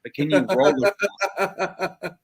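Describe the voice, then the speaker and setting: A man with a nasal voice, co-hosting a casual talk show and chatting in an animated way, with frequent stammered restarts and swearing. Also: high-pitched, unhurried. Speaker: podcast co-host. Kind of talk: casual chat.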